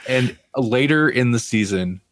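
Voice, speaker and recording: A man's voice: medium pitch, lively intonation, a little slow, no room sound. A man hosting a TV review podcast in casual chat, rambling and informal with an amused manner. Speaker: podcast host reviewing TV shows.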